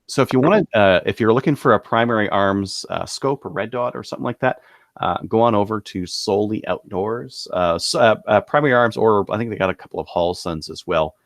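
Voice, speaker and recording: A man co-hosting a podcast, casually running through products in a halting, thinking-aloud way. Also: on the low side, dry recording, clean studio sound. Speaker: podcast co-host presenting gun deals.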